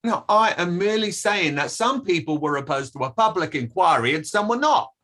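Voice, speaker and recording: A man's voice: high voice, animated, brisk, pushed and bright, exaggerated voice. Man playing a guarded, defensive company official. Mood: flustered, evasive. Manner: guarded, formal, clipped denials with hesitant hedging. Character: defensive, evasive male company safety official under questioning. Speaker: actor in an online table read. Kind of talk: witness testimony in a play reading.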